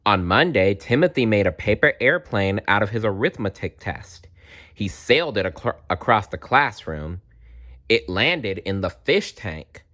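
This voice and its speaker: A man reading a children's book aloud as a storyteller in an animated style. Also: lowish voice, a little slow.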